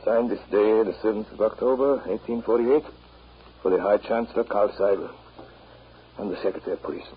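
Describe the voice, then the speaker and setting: A man playing a weary, ironic older German professor, measured and grave, in a 1930s radio drama. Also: fairly low pitch, close to an everyday voice. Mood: resigned. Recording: muffled, slightly noisy 1930s radio recording.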